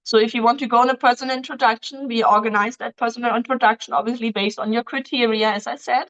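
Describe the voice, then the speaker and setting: A woman's voice: a little high, steady tone, fast talker, a bit bright, low-quality recording. Woman hosting a live Q&A. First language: German. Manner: reads questions aloud, answers in loose non-native phrasing with many ums. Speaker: dating agency host answering viewer questions. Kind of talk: live-stream Q&A, casual.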